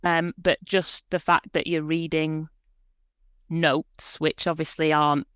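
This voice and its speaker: A woman in her forties speaks as a podcast guest, chatty and reflective, often pausing on small fillers. Her voice is smooth and clean, and the recording is close and dry with no room sound. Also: low-pitched, level tone, fairly bright tone, dry recording. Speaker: guest, proofreader and editor. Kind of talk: conversational podcast interview.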